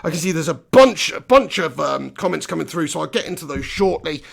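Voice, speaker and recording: A man hosting a live post-match reaction, hoarse from losing his voice, ranting in an animated, exasperated way. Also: a little high, fairly quick. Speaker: football fan channel host.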